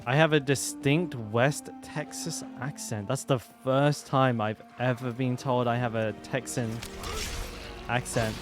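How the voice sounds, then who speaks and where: A man's voice: mid-range voice, fairly even intonation, a little slow. A man streaming a game, chatting casually and rambling with lots of fillers. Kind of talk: casual gameplay commentary. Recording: game music behind voice. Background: game music.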